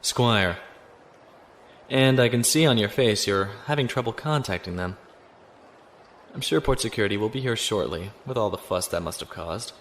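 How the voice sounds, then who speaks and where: Male actor as sci-fi lieutenant, low pitch, formal, polite, dry, unruffled, audio drama, clear background noise. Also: lively intonation, normal speed, long pauses, fairly bright tone. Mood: dry, confident. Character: composed, courteous noble space-navy lieutenant on the run. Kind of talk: science fiction audio drama acting. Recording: noisy ambient background.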